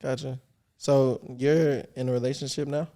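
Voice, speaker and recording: A young man hosts a loose, slangy podcast conversation with a mid-range voice. He talks in a relaxed way and laughs often between his questions. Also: medium pitch, normal speed. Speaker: podcast host. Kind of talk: casual podcast chat.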